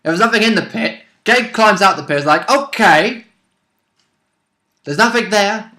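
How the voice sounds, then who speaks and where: A man's voice: sits high, expressive, moderate pace, pushed and bright. A man chatting casually as a player about a tabletop role-playing game, blunt, opinionated and casually sweary.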